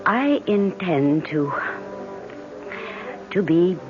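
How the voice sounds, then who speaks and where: An actress playing an anxious, loving young fiancée, earnest and insistent, in old-time radio drama style. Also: female voice, fairly low pitch, expressive, extremely slow. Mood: insistent, hurt. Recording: dull radio recording, music behind.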